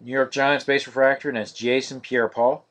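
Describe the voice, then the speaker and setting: Male card-break host, mid pitch, flat steady delivery, live-stream feel. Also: brisk. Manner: monotonous, steady listing of cards.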